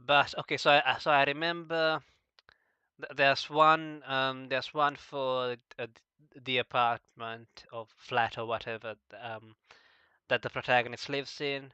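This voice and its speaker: A man hosting a casual, unscripted discussion, asking questions in a halting way and often stumbling over his words. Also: medium pitch, little pitch movement, bright, forceful tone, smooth, no echo, dull audio. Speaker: podcast host asking questions.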